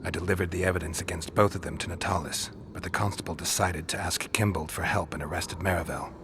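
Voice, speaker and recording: Male actor for gruff mercenary monster hunter, fantasy game. Very deep, gravelly, flat and unimpressed delivery. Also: quick pace, background noise, natural voice. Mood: matter-of-fact. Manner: terse, deadpan. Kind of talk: video game dialogue acting.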